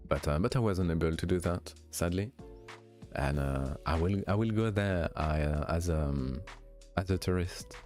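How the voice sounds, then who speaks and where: Deep-voiced man with a light French accent. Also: normal speed, faint music behind. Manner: relaxed, hesitant, many fillers and restarts. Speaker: streamer. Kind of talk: casual chat answering viewers.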